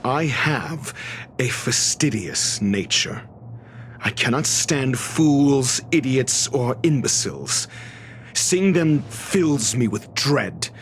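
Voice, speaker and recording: An actor playing an arrogant, fastidious scholar-doctor in a video game, speaking in a pompous, measured, formal way. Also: male voice, mid-range voice, sing-song, a little hiss or hum. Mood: disdainful. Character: arrogant, erudite male scholar-doctor, fastidious and disdainful of fools. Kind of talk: scripted video game cutscene dialogue.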